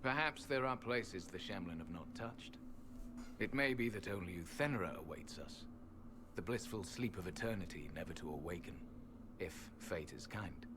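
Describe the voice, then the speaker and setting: A man playing an ancient, weary elven temple guardian in a fantasy video game, speaking formally and gravely. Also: low-pitched, animated, moderate pace, background noise. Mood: weary, resigned. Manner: formal archaic phrasing, grave.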